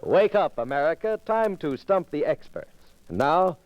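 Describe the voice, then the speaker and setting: A man's voice: on the high side, sing-song, normal speed. A man announcing the opening of a 1940s radio show in a proclaiming style. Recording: very noisy, dull 1940s radio disc.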